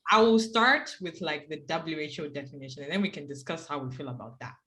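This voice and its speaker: A woman's voice: low-pitched, expressive, a little fast, a bit bright. A woman co-hosting a casual health discussion podcast, chatty and leaning on filler words as she talks. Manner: chatty, leans on "like". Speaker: podcast co-host.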